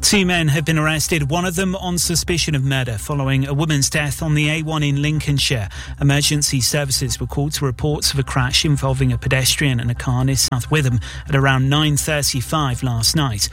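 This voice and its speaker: A man reading the hourly radio news bulletin in a measured, formal way. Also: fairly high pitch, steady tone, fairly bright tone. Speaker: radio newsreader.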